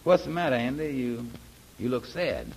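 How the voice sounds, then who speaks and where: An actor playing a concerned, kindly friend with folksy dialect speech, in 1940s radio drama style. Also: male voice, medium pitch, expressive, normal speed, close to an everyday voice. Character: Amos, concerned, kindly friend of a sad man. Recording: faintly noisy 1940s radio recording.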